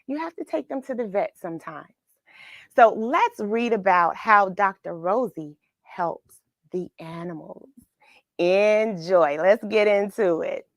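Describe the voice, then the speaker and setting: Unhurried American woman hosting children's read-alongs. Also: mid-range voice, animated, dry recording, clean studio sound. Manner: encouraging, question-asking, addresses children directly. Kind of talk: chatty livestream greetings and story reading for children.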